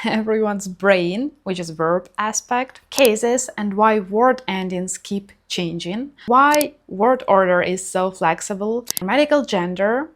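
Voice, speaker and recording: Young woman. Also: mid-range voice. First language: Ukrainian and Russian. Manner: clear, enthusiastic, listing examples. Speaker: language educator, channel host. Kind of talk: scripted educational explainer.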